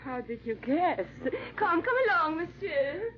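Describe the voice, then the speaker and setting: A woman's voice: high voice, very animated, a little slow. A woman playing a pushy, effusive society matchmaker in a 1940s radio drama, with theatrical, insistent delivery. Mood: gushing, insistent. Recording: dull, muffled old radio recording.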